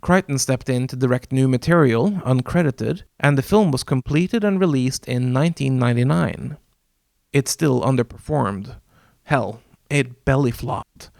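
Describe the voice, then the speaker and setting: Norwegian guy narrating a film essay, wry and measured, mid pitch, dry close mic. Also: creaky, a little mellow, no echo. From Norway. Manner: measured, wry, with dramatic pauses. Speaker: video essay host. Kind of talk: scripted narration.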